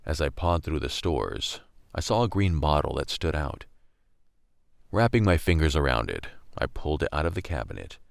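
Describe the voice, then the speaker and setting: A man's voice: rumbling low, sing-song, moderate pace, gravelly, no echo, studio quality, heavily acted. A man acting as a weary, wry young survivor, narrating a radio drama in the first person. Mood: gritty, defiant. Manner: wry, brooding, world-weary. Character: weary, gritty young male survivor narrating a post-collapse story.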